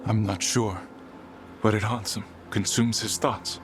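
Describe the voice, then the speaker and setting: A man's voice: on the low side, fairly even intonation, measured pace, close to an everyday voice. Man playing a solemn, devoted elven zealot, music behind. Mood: devout. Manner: grave, formal, fervent. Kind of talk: dramatic video game voice acting.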